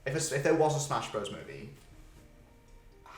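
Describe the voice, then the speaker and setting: Mid-pitched man in an echoey room. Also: sing-song, moderate pace. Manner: blunt, emphatic, sweary. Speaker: podcast co-host. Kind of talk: casual chat about films and games. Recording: roomy, echoey sound.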